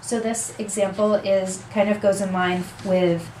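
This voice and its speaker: A woman's voice: mid-range voice, flat delivery, measured pace, warm tone, slight background noise, rough recording. A young adult woman presenting a workshop in a small office conference room, explaining steadily and gesturing with her hands, picked up by a camera across the room. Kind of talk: seminar talk.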